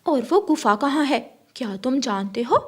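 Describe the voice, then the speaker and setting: A woman's voice: high voice, lively intonation, normal speed, husky. A woman voicing a scheming female crow in a Hindi cartoon story, coaxing and cunning.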